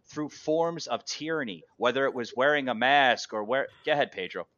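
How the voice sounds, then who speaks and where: A man's voice: medium pitch, normal speed, a bit bright, muffled recording. A man hosting an unscripted political debate, insistent and repeating his phrases for emphasis. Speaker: talk show host.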